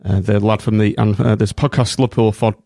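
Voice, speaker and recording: A man's voice: lowish voice, flat delivery, quick pace, gravelly, mellow, no room sound, clean studio sound. A man hosting a casual podcast chat, friendly and rambling with many restarts. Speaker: podcast host.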